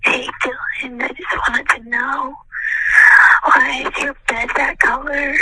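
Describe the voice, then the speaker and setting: A woman voicing a creepy stalker in a closet, leaving a drawn-out, eerie voicemail. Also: high voice, level tone, bright, forceful tone, slight background noise, full character voice, poor audio. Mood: creepy, taunting.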